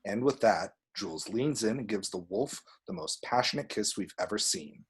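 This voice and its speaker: Low, slightly husky man narrating a script. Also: lively intonation, normal speed. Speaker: reader of action descriptions.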